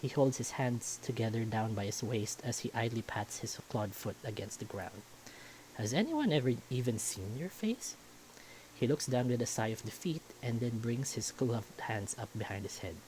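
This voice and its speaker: A man's voice: lowish voice, fairly even intonation, moderate pace, hardly any pauses, darkish tone, a little hiss or hum, low-quality recording. A man reading a visual novel aloud as first-person story narration.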